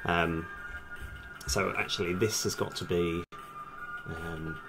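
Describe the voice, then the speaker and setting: A man's voice: deep voice, fairly even intonation, slow, music in the background, poor audio. A man thinking aloud in a casual, hesitant, self-deprecating way while live streaming as a game developer.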